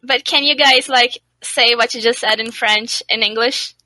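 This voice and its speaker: A woman's voice: on the high side, steady tone, moderate pace, bright, forceful tone, dry recording, rough recording. A woman moderating a voice chat, reading out questions in a hesitant way.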